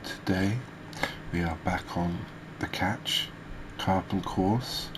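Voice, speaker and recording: A man hosting a gaming video, giving soft ASMR commentary in a halting, hesitant manner. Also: sits low. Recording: steady background noise.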